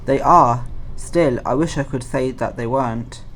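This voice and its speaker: A man's voice: mid-range voice, a little slow, a bit bright, a little hiss or hum, rough recording. A man acting a polite, earnest charity collector in an audio play, speaking formally and courteously.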